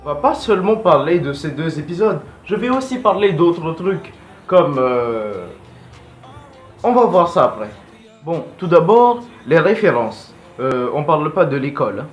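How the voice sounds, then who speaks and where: A man's voice: fairly high pitch, big pitch swings, measured pace, faint music behind. A male host giving casual, rambling and blunt fan commentary in an informal vlog. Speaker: video host.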